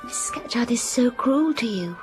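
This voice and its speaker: An actress voicing a defiant, passionate young schoolgirl in a radio drama, earnest and quietly indignant. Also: on the high side, lively intonation, measured pace, soft background music. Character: defiant passionate young girl pupil Jane. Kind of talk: radio drama acting with narration.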